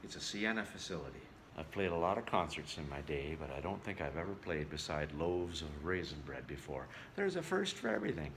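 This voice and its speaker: A man's voice: very deep voice, very animated, fairly quick, few pauses, fairly bright tone, slight background noise. A man who entertains as a touring singer and show host, chatting casually in a folksy way as in a travel vlog or between songs.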